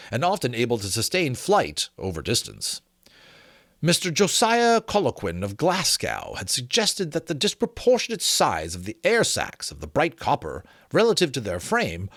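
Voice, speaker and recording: A man reading a book aloud as its host, in an expressive, emphatic, dramatic storytelling style with a casual touch. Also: mid-range voice, no echo, clean studio sound. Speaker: host reading a novel aloud with his own asides.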